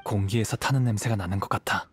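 Calm, husky young swordsman. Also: on the low side, fast talker. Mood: composed. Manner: composed, gentle, reflective. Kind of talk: video game voice acting.

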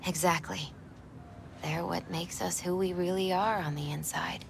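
A woman playing a gentle, spiritual young woman who is quietly grieving, speaking softly and reflectively in a video game. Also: lowish voice, fairly even intonation, measured pace, gravelly, background noise. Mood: wistful, hesitant. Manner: soft, wistful, reflective. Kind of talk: naturalistic video game acting. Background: music in the background.

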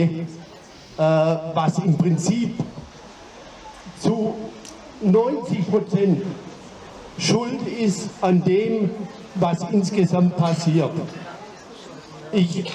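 A man making a deliberate protest speech at an open mic on a city street, pausing often as he cites figures into a handheld mic. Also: sits high, darkish tone, echoey room, distant mic, rough recording. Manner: slow, deliberate, with pauses. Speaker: demo speaker at open mic. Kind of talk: protest speech citing numbers. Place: city street outside a broadcast building. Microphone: handheld mic, far camera.

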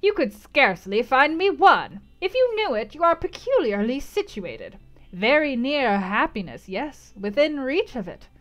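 Woman putting on a harsh old crone fortune teller, bold and decided, loud and knowing. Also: sits high, big pitch swings, measured pace, faint music behind, pitched above the performer's own voice.

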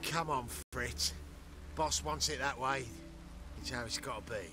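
A man's voice: medium pitch, measured pace, music in the background, lightly acted. A man playing a menacing street thug collecting debts in a fantasy video game. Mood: threatening.

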